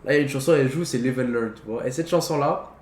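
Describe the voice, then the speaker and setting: A man's voice: mid-range voice, rapid-fire, a little mellow. Young adult male, mid-range voice, casual heavy conversation, echoey room sound. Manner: slangy, peppered with English words. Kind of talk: casual chat about films. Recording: roomy echo, faint background noise.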